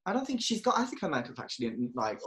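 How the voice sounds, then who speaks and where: A man guesting on a podcast, rattling along quickly in a playful, gossipy chat. His voice sits a touch high. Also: very animated, a bit bright. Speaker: drag performer and guest. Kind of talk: casual chat.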